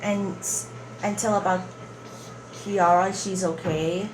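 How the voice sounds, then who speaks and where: A woman giving casual gameplay commentary in a halting way. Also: medium pitch, steady tone, rough recording. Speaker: gamer. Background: loud game music.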